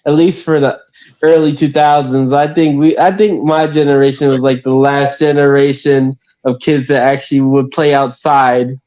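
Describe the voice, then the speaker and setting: A man's voice: mid-range voice, little pitch movement, moderate pace, darkish tone, highs cut off. A man in his mid-twenties chatting casually on a podcast, easygoing and slangy, with lots of "bro" and "real talk.". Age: 20s. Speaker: podcast guest. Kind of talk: casual chat.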